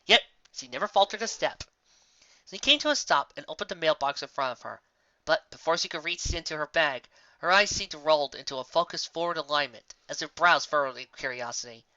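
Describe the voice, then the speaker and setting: A narrator reading a scripted fan fiction story in an expressive, dramatic way, close to the microphone. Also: mid-range voice, fairly quick, dry recording. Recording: slightly dull, dry home recording.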